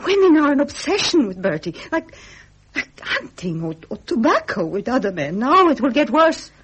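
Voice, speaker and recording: High-voiced, anxious British royal wife. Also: female voice, big pitch swings, normal speed, breathy edge, exaggerated voice. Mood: sorrowful, resigned. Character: anxious, devoted royal wife, gentle and sorrowful. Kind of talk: 1970s period radio drama acting.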